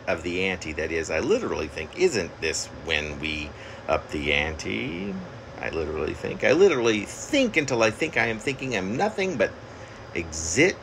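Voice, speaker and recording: A man reading his own poem aloud, haltingly, repeating phrases like an incantation, recorded on a phone in a room at home. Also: low-pitched, a bit bright, slight background noise. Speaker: poet reading own work. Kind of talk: poetry reading. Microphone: phone at arm's length.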